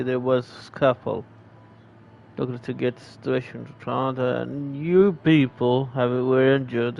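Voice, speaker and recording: A man playing a game, reading the on-screen text aloud in a slow, hesitant way and pausing to explain words. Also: medium pitch, vocal fry, a little mellow, dull audio, slight background noise. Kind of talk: casual gameplay commentary.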